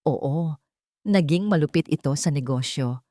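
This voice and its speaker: Low-voiced woman narrating a drama. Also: measured pace, dark tone, no room sound, studio quality. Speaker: story narrator. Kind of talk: scripted dramatic story narration.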